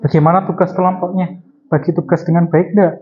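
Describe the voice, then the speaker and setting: A man's voice: high voice, steady tone, a little fast, highs cut off. A man teaching a class, prompting students with short questions and repeating their answers.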